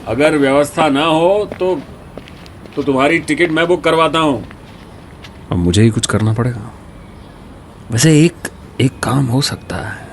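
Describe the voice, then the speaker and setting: An actor playing an aging university dean in a radio drama, talking in an earnest, coaxing way as a concerned old friend. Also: male voice, a little high, a little slow, long pauses, slight background noise. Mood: concerned, resolved. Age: older. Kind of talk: Hindi radio drama dialogue.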